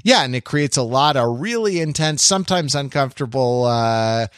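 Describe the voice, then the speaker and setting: A man co-hosting a podcast in casual conversation, haltingly thinking aloud and padding his points with hedges. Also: mid-range voice, lively intonation, a bit bright, clear, resonant tone, dry recording, clean studio sound. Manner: halting, thinking aloud, hedging with "like" and "you know". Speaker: podcast co-host.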